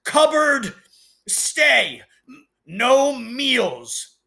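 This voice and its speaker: A middle-aged storybook uncle in a rage, played by a man reading aloud. He barks clipped words in a loud, raised, strained voice, barely able to speak for anger. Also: extremely high pitch, sing-song, extremely slow, creaky, pitched above the performer's own voice, full character voice. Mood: furious. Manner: barking clipped single words.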